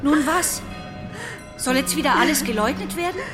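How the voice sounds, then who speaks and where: An actress playing an indignant, accusing young daughter in a radio drama. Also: female voice, high voice, lively intonation, moderate pace. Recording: clear music behind the voice.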